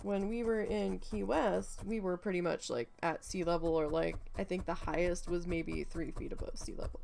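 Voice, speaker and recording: Gravelly-voiced woman chatting casually. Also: on the low side, fairly even intonation, moderate pace, a little mellow. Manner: rambling, full of fillers, swears freely. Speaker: craft vlogger. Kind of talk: casual chat while needle felting.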